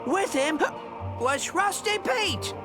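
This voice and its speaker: A man's voice: very high-pitched, expressive, takes it slowly, exaggerated voice. A daffy, scheming robot from a video game. He rambles cheerfully and theatrically over music. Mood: gleeful, showy.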